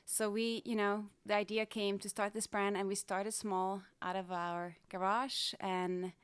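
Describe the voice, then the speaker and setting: A woman's voice: medium pitch, little pitch movement, measured pace, smooth, dry recording. A middle-aged woman from Denmark talking candidly and reflectively as a guest in a casual interview. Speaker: interview guest, fashion designer.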